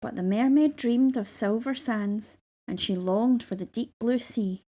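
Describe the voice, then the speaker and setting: Woman reading a kids rhyming story, mellow soft tone, bit high, steady rhythm. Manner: measured, rhythmic verse reading. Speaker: storybook reader. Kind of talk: children's story read-aloud.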